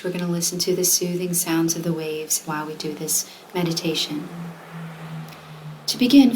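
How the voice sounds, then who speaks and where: A woman leading a guided meditation, speaking in a gentle, soothing, conversational way as she gives simple instructions. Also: lowish voice, low-quality recording. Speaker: meditation guide, wellness coach. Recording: steady noise of ocean waves. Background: ocean wave sounds.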